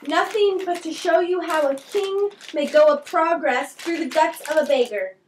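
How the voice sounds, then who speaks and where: A woman's voice: very high-pitched, normal speed. A woman playing a mocking, riddling young prince in an amateur Shakespeare puppet show, declaiming old verse with a taunting edge. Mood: darkly taunting. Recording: echoey, distant, faintly noisy room recording.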